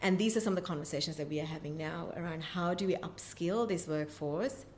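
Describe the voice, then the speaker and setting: Low-pitched woman, enthusiastic interviewee. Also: fairly quick. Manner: enthusiastic, emphatic about the work. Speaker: interview guest, vocational college representative. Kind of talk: interview answers about education and business. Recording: clear background noise, room ambience.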